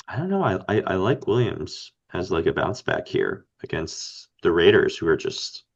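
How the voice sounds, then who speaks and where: Low-voiced raspy male podcast co-host, a bit sick, thinks aloud, muffled audio. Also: big pitch swings, moderate pace. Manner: rambling, thinking aloud with fillers. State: under the weather, raspy. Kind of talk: casual fantasy game chat.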